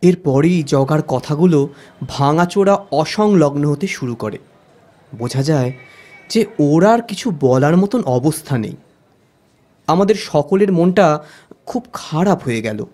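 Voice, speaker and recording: A man's voice: a little high, mellow, exaggerated voice. A man narrating an audio story expressively in the first person, as the detective's friend.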